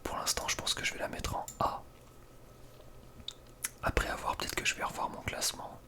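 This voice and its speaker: A young man speaks in a soft breathy whisper, giving casual, slangy ASMR gaming commentary with a hesitant delivery. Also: normal speed. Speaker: ASMR video creator.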